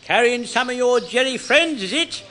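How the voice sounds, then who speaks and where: An actor playing a belligerent, confused old British wartime soldier in a radio comedy, blustering and excitable. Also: male voice, extremely high pitch, moderate pace, exaggerated voice. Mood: suspicious, gruff. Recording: faint noise on old radio recording.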